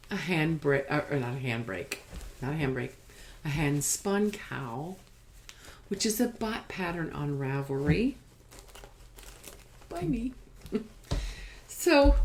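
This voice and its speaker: A woman hosting a casual craft podcast, rambling haltingly and sometimes trailing off as if talking to herself. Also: low-pitched, a little mellow, slight background noise. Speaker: podcast host showing knitting and spinning.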